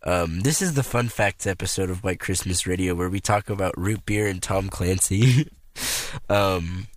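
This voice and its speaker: A man's voice: normal speed, no room sound. Laid-back young man hosting college radio. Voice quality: higher voice. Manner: laid-back, laughing, filler-heavy. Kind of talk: casual radio banter.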